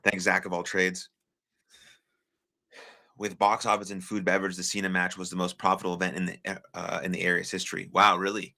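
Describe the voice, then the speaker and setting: A young American man with a low voice and a bright, forceful edge hosts a live stream. He chats casually and encouragingly with his viewers, filling pauses with plenty of "uh"s. The delivery stays loose and unscripted. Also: completely flat delivery, creaky. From United States. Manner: chatty, encouraging, frequent filler words. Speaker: livestream host.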